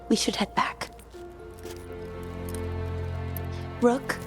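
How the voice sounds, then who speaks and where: An actress playing a young, earnest female elf companion in a video game, grieving and guilt-ridden, with soft, halting delivery. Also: medium pitch, vocal fry. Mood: tender, vulnerable. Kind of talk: video game dramatic voice acting.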